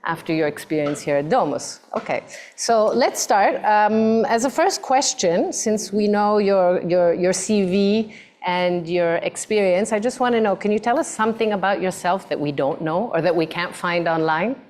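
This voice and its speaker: A woman's voice: mid-range voice, normal speed, a little mellow. A woman moderating a design talk for students, hesitant, with many fillers and repeated words. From mixed background.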